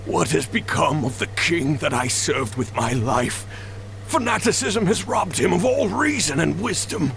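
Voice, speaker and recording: An actor playing a wise old general and royal counselor in a video game's stage play, grave, eloquent and declamatory. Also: male voice, fairly high pitch, very animated, unhurried, vocal fry, fairly bright tone, a little hiss or hum, full character voice. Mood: anguished. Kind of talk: theatrical stage-play acting in a video game. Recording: music behind the voice.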